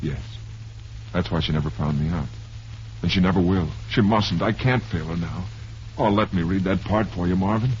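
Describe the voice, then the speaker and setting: An actor playing a desperate down-and-out performer in an old-time radio drama, pleading earnestly and haltingly. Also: male voice, low voice, darkish tone, lightly acted. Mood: sorrowful. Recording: muffled, hissy old radio recording.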